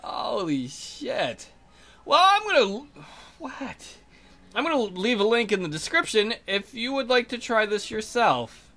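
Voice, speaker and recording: Male let's player: high, very animated delivery, sarcastic narration and commentary on a visual novel. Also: unhurried. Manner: sarcastic, incredulous asides. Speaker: let's play host.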